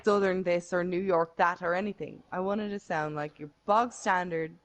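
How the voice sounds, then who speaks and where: A woman's voice: on the low side, steady tone, moderate pace, slight background noise. An Irish woman co-hosting a podcast, chatting casually and swearing often with exasperation. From Ireland. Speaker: podcast co-host. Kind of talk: casual chat.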